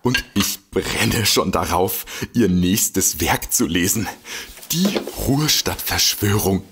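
An actor playing an excitable, smitten, bumbling detective who stammers and gushes in a comedic audio drama. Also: male voice, sits low, slow, background noise, exaggerated voice. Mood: gushing admiration. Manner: stammering, gushing, giggly, easily flustered.

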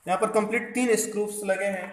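A man's voice: high voice, steady tone, quick pace. A man narrating a hands-on repair tutorial as an instructor, explaining step by step and mixing in English technical terms. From India. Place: indoors at a repair workbench. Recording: echoey room, faint background noise.

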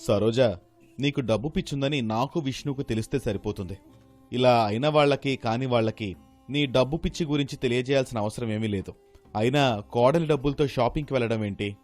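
A man's voice: mid-range voice, a little fast, darkish tone, faint music behind, close to an everyday voice. A man playing a reproachful older husband in a story, lecturing and fed up. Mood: scolding. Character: scolding older husband, fed up with greedy wife.

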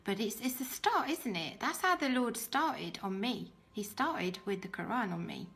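A woman in her thirties giving an informal personal testimony to camera, speaking in an earnest, reflective, halting way and repeating phrases for emphasis. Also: mid-range voice, animated, fairly bright tone. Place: small room at home, indoors. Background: music.

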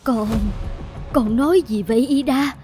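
A woman playing a gentle, anxious, devoted middle-aged mother in an animated fairy tale, with a coaxing, worried manner. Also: high voice, unhurried, soft background music. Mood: hurt, pleading.

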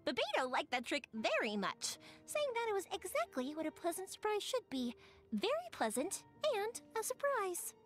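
A high, girlish video game heroine, a cheerful young fireworks maker. Her delivery swings widely in pitch, chatty and expressive, like someone eagerly sharing stories with a friend. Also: normal speed, faint music behind, heavily acted. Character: cheerful, warm-hearted young woman fireworks maker, lively storyteller. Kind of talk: video game character voice lines, conversational storytelling.

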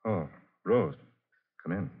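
Casting: crooked lawyer, deep and coolly formal, on a dull 1940s radio recording. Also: male voice, expressive, takes it slowly, breathy edge, exaggerated voice. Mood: cold, scheming. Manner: calculating, formal. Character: scheming, smooth-talking crooked male lawyer.

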